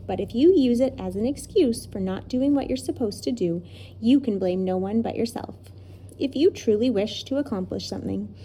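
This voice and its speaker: A tired, kindly old professor speaks slowly and gently, as a woman reading the story puts him on. His voice is soft and mellow, close to the narrator's own, with a patient lecturing tone from a storybook. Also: on the high side, slight background noise. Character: weary, wise old male professor.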